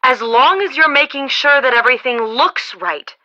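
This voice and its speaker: An actress playing a sharp-tongued, sarcastic storm-chaser rival in a video game, heard over a radio. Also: female voice, high voice, animated, normal speed, dull audio. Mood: scornful. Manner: sarcastic, scornful. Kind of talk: video game character dialogue.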